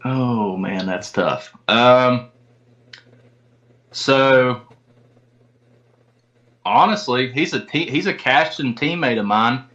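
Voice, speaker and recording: A man's voice: mid-range voice, slow pace, long pauses, a bit bright, lo-fi sound. A man talking casually as an interview guest, with informal, folksy phrasing. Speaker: podcast guest, tournament kayak angler.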